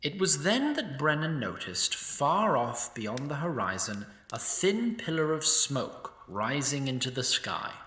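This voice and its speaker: A man's voice: mid-range voice, sing-song, a little slow, hardly any pauses, bright, forceful tone. A man voicing a wry, ageless sprite narrator. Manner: wry, opinionated asides. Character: snarky timeless male sprite narrator. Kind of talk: audio drama narration. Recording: roomy echo.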